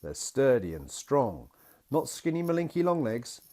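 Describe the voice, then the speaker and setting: A man voicing a gentle, patient father in a picture-book story, reassuring his small daughter as he explains things. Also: medium pitch, very animated, a little slow, voice pushed up.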